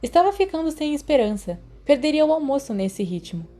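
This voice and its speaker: A woman's voice: high voice, big pitch swings, a little fast, warm tone. A woman with a Brazilian accent reading a story aloud as scripted narration. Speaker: audiobook narrator.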